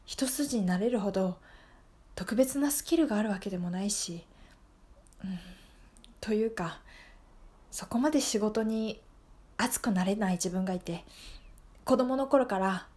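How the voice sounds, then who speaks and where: A woman's voice: medium pitch, measured pace, long pauses, darkish tone, pitched below the performer's own voice, lightly acted. A woman playing an anxious, jealous single woman of nearly thirty in an improvised radio drama, rambling emotionally and apologetically. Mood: anxious, downcast.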